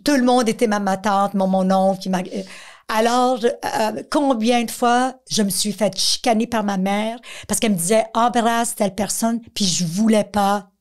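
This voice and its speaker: Middle aged quebec french lady, interview guest explaining stuff with examples, normal pitch. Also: fairly even intonation, moderate pace. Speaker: guest, director of a missing-children organisation.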